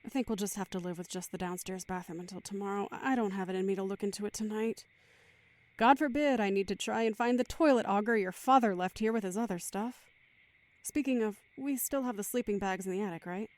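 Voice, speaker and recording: A woman's voice: medium pitch, brisk, a little mellow, no room sound, lightly acted. A woman playing a tired, caring mother in an audio drama. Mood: tired, practical. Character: caring, tired mother hosting a sick young guest.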